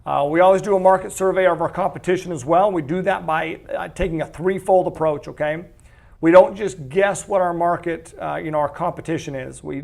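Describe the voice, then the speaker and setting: Higher-pitched man with a creaky voice, presenting. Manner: conversational, explanatory, checks in with "okay" and "right". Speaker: real estate investment presenter. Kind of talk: webinar-style investment pitch, informal scripted walkthrough.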